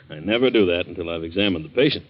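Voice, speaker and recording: An actor playing an easygoing, reassuring family doctor in a 1940s radio drama, casual and avuncular. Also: male voice, low voice, fast talker, natural voice. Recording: dull old 1940s radio recording.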